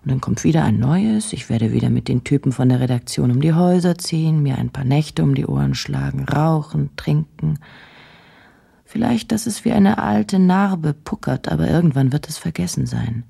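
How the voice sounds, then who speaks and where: An actress playing a driven, troubled woman journalist in a radio play, speaking in a halting, introspective, intense way. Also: warm tone, exaggerated voice. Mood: restless, weary. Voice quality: low, husky. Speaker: radio drama actor. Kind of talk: German radio play, intimate monologue and interview.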